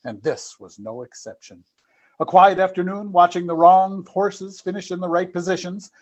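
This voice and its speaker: A man's voice: high voice, lo-fi sound, close to an everyday voice. A man playing a dramatic, wry announcer introducing an old-time radio detective serial.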